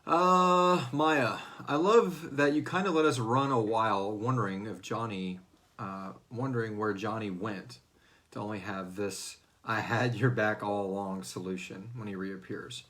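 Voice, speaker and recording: A man's voice: on the low side, fairly even intonation, a little slow, fairly bright tone. A man hosting a casual question-and-answer chat, speaking informally and loosely, with some swearing. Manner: informal, swearing, acts out quotes. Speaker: host and series creator.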